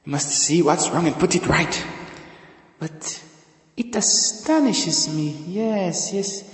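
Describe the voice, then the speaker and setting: A man playing a fussy, excitable elderly time-traveller who stammers and repeats himself in a fan audio drama. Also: fairly high pitch, slow pace, pitched above the performer's own voice. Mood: indignant, urgent. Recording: echoey room with long reverb.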